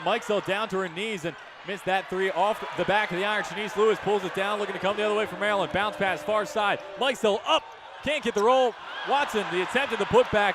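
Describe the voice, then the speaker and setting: A man doing live radio basketball play-by-play, projecting excited, rapid-fire calls. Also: sits high. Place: courtside in a basketball arena. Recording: loud arena crowd noise behind. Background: crowd, bouncing ball.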